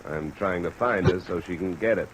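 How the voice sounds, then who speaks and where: An actor playing a hard-boiled, world-weary insurance investigator, terse and dry, in 1950s radio detective style. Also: male voice, low voice, normal speed, lightly acted, rough recording. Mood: probing. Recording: vintage radio recording, clear rain noise overlaid.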